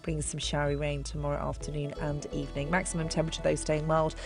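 A woman's voice: deep voice, level tone, fast, music in the background. A woman presenting a radio weather forecast in a chatty, informal way. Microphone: studio mic.

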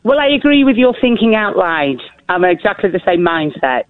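A woman with a deep, crackly voice calls in to a radio show. She talks in a hesitant, nervous way and keeps apologising. The call comes through a thin, tinny phone line with no room sound. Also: sing-song, normal speed, no echo. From northern England. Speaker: caller.

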